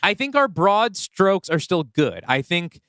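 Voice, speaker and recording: A man's voice: sits high, big pitch swings, unhurried, pushed and bright, dry recording, studio quality. A man co-hosting a podcast, chatting casually and thinking aloud as he works through story ideas, with lots of "like" along the way. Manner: thinks aloud, often says "like". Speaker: podcast co-host and writer. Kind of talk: casual brainstorming chat.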